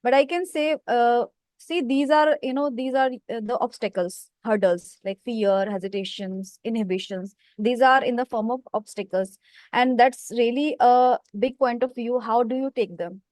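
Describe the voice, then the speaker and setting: A woman's voice: on the high side, normal speed, a little mellow, dry recording. Woman in her thirties, thoughtful host, dry close sound, leans on "you know". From India. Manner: reflective, many "you know" fillers. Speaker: host, English teacher. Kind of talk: casual conversation practice.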